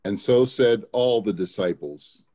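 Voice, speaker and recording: A man's voice: fairly low pitch, measured pace, warm tone. A man giving a dramatic reading of scripture as a church reader, on a slightly dull recording.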